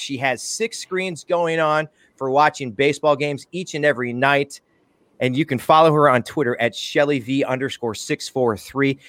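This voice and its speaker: A man's voice: on the high side, fairly bright tone, no echo. A man hosting a podcast, giving chatty intros with self-mocking jokes. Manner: jokey, self-mocking, chatty announcer patter. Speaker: podcast host. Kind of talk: casual podcast chat.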